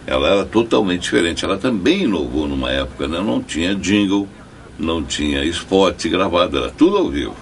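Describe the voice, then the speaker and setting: An older man with a very deep, gravelly voice speaking in an interview. His pitch swoops dramatically up and down, and faint noise sits beneath him. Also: expressive, fairly quick. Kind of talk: interview opinion.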